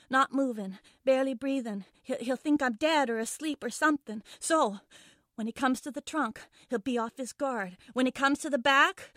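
A scared, stubborn little schoolgirl, played by a woman, in a dramatic monologue. Her voice is high and sharp, recorded close and dry. She stumbles and repeats herself, covering fear with crude bravado. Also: no room sound. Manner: stammering, repeating herself, foul-mouthed bravado. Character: frightened, defiant young schoolgirl trapped in a car trunk. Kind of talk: dramatic first-person monologue.

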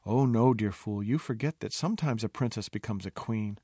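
A man voicing a sharp-tongued young princess in a storybook, imperious, scolding and exasperated. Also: on the low side, fairly quick, breathy edge, no room sound, studio quality. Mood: haughty.

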